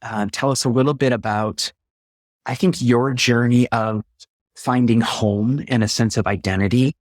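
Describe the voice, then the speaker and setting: A male host opening an interview over a video call, speaking in an unhurried way with frequent ums. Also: mid-range voice, steady tone, no echo, clean studio sound. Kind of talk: interview introduction.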